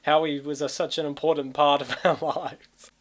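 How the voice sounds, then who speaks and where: A man's voice: on the high side, little pitch movement, moderate pace. A man giving casual reaction commentary, amused and rambling, often repeating his words. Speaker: comic dub commentator.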